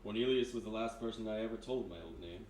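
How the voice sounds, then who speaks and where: An actor playing a sinister, courteous hypnotist in a live radio mystery, speaking in a measured, insinuating way. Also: male voice, lowish voice, darkish tone, natural voice. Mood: soft menace. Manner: measured, insinuating, polite, deliberate. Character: sinister soft-spoken male hypnotist, calmly menacing, courteous. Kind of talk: live 1940s-style radio mystery acting. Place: live performance in a historic house room. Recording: roomy distant live recording, background noise.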